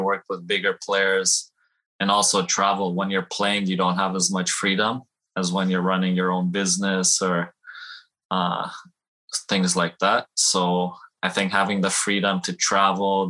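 A young adult man from Iran, a football trainer and podcast guest, telling his story in a relaxed, rambling way with many "you know"s and "uh"s. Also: deep voice, rough recording. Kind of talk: casual interview answers.

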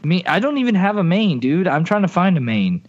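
A man's voice: high-pitched, sing-song, brisk, dull audio. A man co-hosting a gaming podcast, rambling and poking fun at himself as he tells his stories. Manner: rambling, self-mocking, full of restarts. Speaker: podcast co-host. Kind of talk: casual gaming podcast chat.

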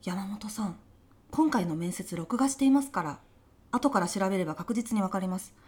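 A woman's voice: mid-range voice, animated, normal speed, a little mellow, breathy edge. A woman playing a polite but easily exasperated veteran job interviewer in an audio comedy sketch, speaking formal business Japanese. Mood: stern, suspicious.

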